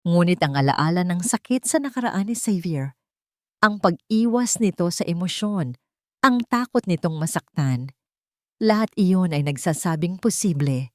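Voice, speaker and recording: A woman's voice: on the low side, lively intonation, normal speed, a little mellow, no room sound, studio quality. A woman storyteller reading a scripted romantic drama as a narrator.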